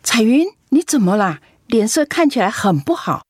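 An actress playing a devout, solicitous churchgoer in a radio drama, speaking expressively. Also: female voice, on the high side, sing-song, normal speed, breathy edge, dry recording, studio quality. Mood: excited, then concerned.

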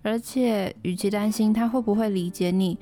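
A woman's voice: medium pitch, fairly even intonation, normal speed, dark tone, soft background music, natural voice. Woman playing a composed, bookish young dorm mate. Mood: encouraging. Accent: Taiwanese Mandarin. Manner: matter-of-fact, reassuring, know-it-all. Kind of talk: podcast radio drama acting.

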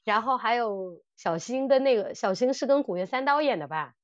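A high-voiced woman hosts a casual fan podcast. She talks in an excited, gushing way, full of exclamations. The sound is close and dry. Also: a little fast, no echo, highs cut off. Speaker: podcast host. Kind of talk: casual fan chat about audio dramas.

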